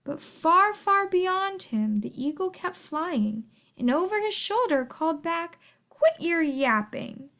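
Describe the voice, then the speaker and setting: A woman reading a rhyming children's story aloud in a theatrical, deliberate, expressive manner. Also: extremely high pitch. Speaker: storybook reader.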